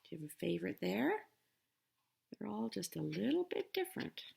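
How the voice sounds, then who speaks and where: A woman's voice: medium pitch, moderate pace, gravelly, heavy background noise. A woman reading a storybook aloud expressively, with chatty asides for young listeners. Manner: expressive, dramatic emphasis on story lines. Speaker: picture book reader.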